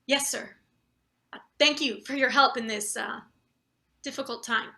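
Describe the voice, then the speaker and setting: A woman playing a flustered, deferential new boss in a live play reading, halting and anxious as they fawn over a superior. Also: a little high, vocal fry, sharp tone, full character voice. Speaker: actor. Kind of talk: live table read of satirical play.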